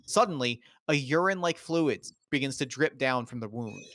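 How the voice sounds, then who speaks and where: A man's voice: medium pitch, lively intonation, moderate pace, fairly bright tone, no room sound, clean studio sound. A man doing scripted narration, reading out the stage directions of a screenplay at a table read.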